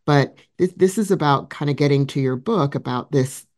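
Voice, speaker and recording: A woman host with a deep voice, soft-spoken and a little hesitant in a casual interview. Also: moderate pace. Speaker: podcast host.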